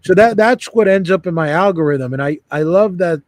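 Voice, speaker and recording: Man, slightly high voice, earnest, reflective, meandering. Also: fairly quick, no echo. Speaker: call participant. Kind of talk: casual group chat.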